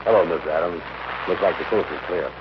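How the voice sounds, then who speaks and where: An actor playing a gullible, easygoing sidekick in an old-time radio comedy, with folksy, ungrammatical speech. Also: male voice, low voice, brisk, natural voice. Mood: wary, bluffing. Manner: folksy, ungrammatical, plain-spoken. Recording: muffled, very hissy old radio recording.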